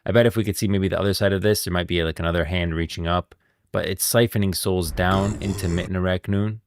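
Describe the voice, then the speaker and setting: Deep-voiced enthusiastic male streamer. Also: steady tone, fast, dry recording. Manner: enthusiastic, emphatic, slangy. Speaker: live streamer, lore commentator. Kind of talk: casual livestream game lore commentary. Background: music.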